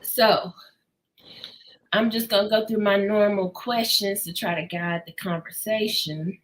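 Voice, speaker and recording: A woman's voice: medium pitch, fairly even intonation, unhurried, slight background noise, rough recording. A woman chatting casually as host of a book discussion, playful and slangy in her reactions. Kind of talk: casual book club chat.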